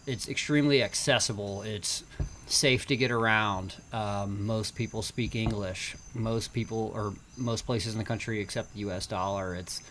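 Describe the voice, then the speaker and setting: A man's voice: fairly low pitch, steady tone, moderate pace, hardly any pauses, fairly bright tone, slight background noise. A man speaking as a podcast guest, explaining in a fluent, informative way. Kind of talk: casual explanation.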